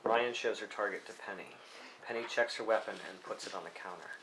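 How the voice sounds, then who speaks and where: A man's voice: lowish voice, a little fast, background noise. A man narrating scene headings and action lines from a screenplay at a table read. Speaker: stage-direction narrator.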